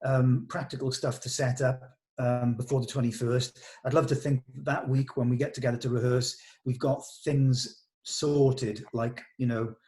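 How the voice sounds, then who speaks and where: A man's voice: mid-range voice, darkish tone. A man talking casually in a production meeting as a film director, hesitantly thinking aloud.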